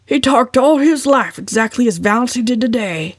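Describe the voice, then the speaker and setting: A woman's voice: a little high, lively intonation, normal speed, dry recording, studio quality, voice pushed up. A woman voicing a bossy, irritable old uncle who growls and snaps bluntly in an audiobook.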